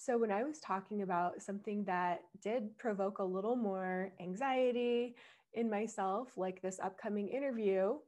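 A young adult woman hosting a solo podcast. She explains things in a reflective way, laughs now and then, and her voice is slightly husky from strain. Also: medium pitch, moderate pace, darkish tone. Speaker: podcast host.

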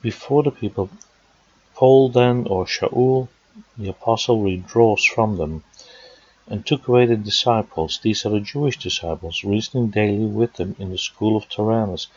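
A man with a Dutch accent preaches in a low, slightly husky voice. He works through scripture passage by passage and pauses with fillers along the way. The recording sounds dry and dull, with a lo-fi quality. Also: darkish tone, dry recording. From Netherlands. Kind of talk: sermon teaching.

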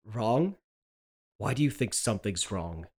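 A man's voice: lowish voice, animated, measured pace, a little mellow, slightly rough. A man playing a frantic, guilt-ridden husband who dotes on his wife in a melodramatic, pleading horror radio play. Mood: irritable, frantic. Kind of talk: 1930s horror radio play table read.

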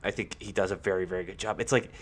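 A man co-hosting a casual sports podcast, talking in a halting way with repeated words and lots of "like". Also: deep voice, fairly even intonation. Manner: halting, repeats himself, peppers sentences with "like".

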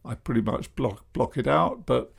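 A man, speaking as a hypnotherapist and public speaker in a measured, self-deprecating monologue about his flat voice. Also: medium pitch, gravelly, husky. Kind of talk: reflective monologue.

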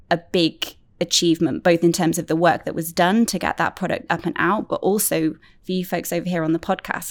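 A woman's voice: lowish voice, no echo. A woman who heads a team, chatting as a podcast guest in a friendly, enthusiastic way. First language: Welsh-speaking, fluent. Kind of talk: casual chat.

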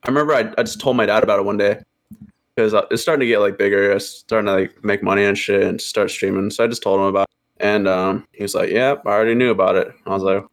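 A man's voice: lowish voice, steady tone, fast talker. A man in his early twenties chatting casually as a podcast guest, laid-back and full of slang. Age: 20s. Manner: laid-back slangy banter, says bro a lot. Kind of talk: casual chat.

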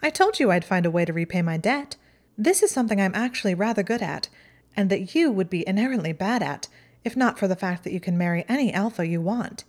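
A woman voicing a haughty, sharp-tongued teenage witch girl in a storybook reading, with a mocking, high-reaching delivery. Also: animated, a little fast, natural voice. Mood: sardonic. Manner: mocking, acidic, voice rises high.

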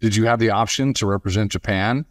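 Guy hosting a sports podcast, low voice, keeps restarting his words, really dry close sound. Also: no room sound. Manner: halting, repeats words and restarts often. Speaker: podcast host, interviewer. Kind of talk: casual sports podcast interview.

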